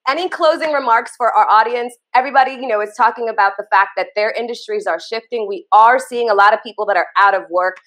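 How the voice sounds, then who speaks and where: A woman in her thirties from Trinidad, hosting an interview over a video call from a home office with lively, chatty enthusiasm. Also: on the high side, fast talker, few pauses. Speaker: interview host.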